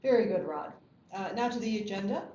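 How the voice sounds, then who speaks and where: A woman playing a formal, encouraging school staff member in a drama table read, measured in delivery. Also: mid-range voice, animated, warm tone, close to an everyday voice. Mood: formal approval. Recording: dull, echoey room with background noise.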